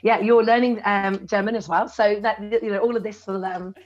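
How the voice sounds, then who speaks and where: A woman's voice: mid-range voice, fairly even intonation, moderate pace, a little mellow, muffled recording. A woman hosting a live stream, upbeat and encouraging as she asks her guest questions. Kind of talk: casual live-stream interview.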